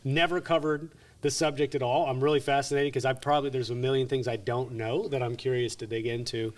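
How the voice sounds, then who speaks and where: A mid-pitched man talks fast and eagerly, firing off curious questions with quick short replies between them. His voice sits a little away from the mic. He hosts the conversation. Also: level tone, recorded from a distance. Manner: quick, eager, encouraging, frequent short backchannels. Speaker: podcast host. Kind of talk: casual interview questions.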